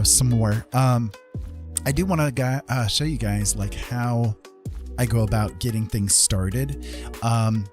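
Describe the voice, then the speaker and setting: A man's voice: medium pitch, a little mellow. Casual, rambling man livestreaming over music. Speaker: live art streamer.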